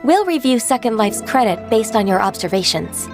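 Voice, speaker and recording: A courteous young official with a raised, gentle voice. She speaks in a measured, earnest way, with soft music behind her. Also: a little high, very animated. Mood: polite. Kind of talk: video game voice acting.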